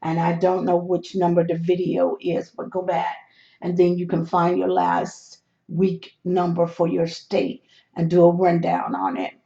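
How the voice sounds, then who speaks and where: Slow, gravelly, hoarse tutorial voice. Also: medium pitch, monotone, mellow, dull audio. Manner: slow, step-by-step explaining with hesitant restarts. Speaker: lottery number tutorial host. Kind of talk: screen walkthrough of number charts.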